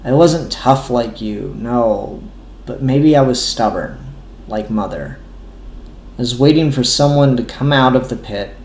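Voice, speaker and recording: A man's voice: medium pitch, animated, a little slow, creaky, darkish tone, a little hiss or hum. Man reading as a wounded, stubborn son, echoey. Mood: pained, subdued. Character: wounded, stubborn grown son confronting his father. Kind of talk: dramatic comic-book reading. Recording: echoey room.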